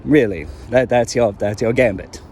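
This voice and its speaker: A man playing a haughty, cynical villain in an audio drama, speaking in a dry, measured, condescending way. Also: mid-range voice, a little mellow, slight background noise, voice pushed down, natural voice. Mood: weary disbelief. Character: haughty, weary male villain, cynical and coldly formal.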